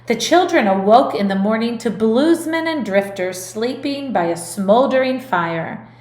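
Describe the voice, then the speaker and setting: Slow, higher-voiced woman reading a storybook aloud. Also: animated, darkish tone, reverberant. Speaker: music teacher. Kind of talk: expressive picture-book read-aloud.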